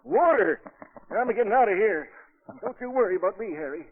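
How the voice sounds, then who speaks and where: An actor playing a tipsy, dim but loyal sidekick in a 1940s radio drama, rambling in rough, uneducated speech. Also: male voice, high-pitched, expressive, a little fast. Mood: muddled, eager. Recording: tinny, phone-like old radio broadcast.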